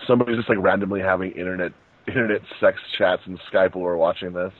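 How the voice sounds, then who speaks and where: A man's voice: sits low, fairly even intonation, quick pace. A man giving casual, joking film commentary with friends over an internet call, in a mock-dramatic way. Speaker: co-host of a movie riffing show. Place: remote voice call, indoors. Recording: tinny internet call, roomy echo.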